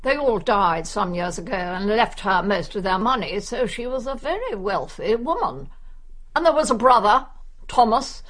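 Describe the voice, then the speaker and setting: A woman's voice: medium pitch, animated, normal speed, lightly acted. An actress playing a nosy, brusque older English village woman in a radio drama, gossiping wryly. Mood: gossipy.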